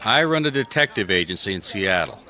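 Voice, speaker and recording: An actor playing a plain-spoken, wry private detective in a radio mystery, dry and straight-talking. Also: male voice, lowish voice, expressive, brisk, sharp tone, faint music behind. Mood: wry, businesslike. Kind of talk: old-time radio mystery acting.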